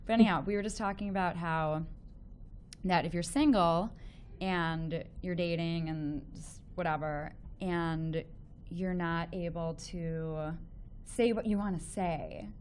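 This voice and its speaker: A woman in her thirties with a Chicago accent hosts a call-in relationship show, talking in a chatty, enthusiastic way with many fillers and restarts. Her voice sits a little low, and a faint hiss of noise runs under it. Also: steady tone, a little slow. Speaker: relationship expert and radio show host. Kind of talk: casual call-in radio chat.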